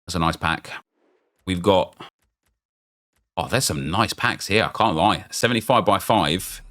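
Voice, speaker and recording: Low-voiced man, chatty gamer. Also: a little slow. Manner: chatty, excitable, thinking aloud. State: losing his voice, getting unwell. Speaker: gaming YouTuber. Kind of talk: casual pack-opening commentary.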